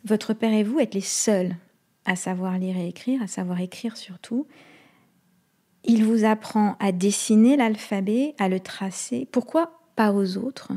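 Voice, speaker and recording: Mellow-voiced woman interviewer, measured. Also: mid-range voice. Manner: measured, attentive, lays out questions at length. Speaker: interviewer, host. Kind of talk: long-form interview questions.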